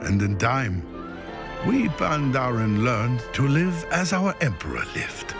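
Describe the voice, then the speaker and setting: Slow, solemn male fantasy narrator. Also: mid-range voice, very animated. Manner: solemn, storyteller-like, deliberate. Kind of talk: dramatic scripted fantasy narration. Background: music.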